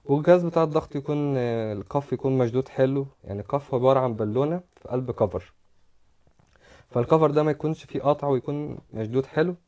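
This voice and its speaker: A man's voice: mid-range voice, fast talker, warm tone. A man with an Egyptian Arabic accent giving a medical lecture over slides, explaining step by step and repeating words as he demonstrates.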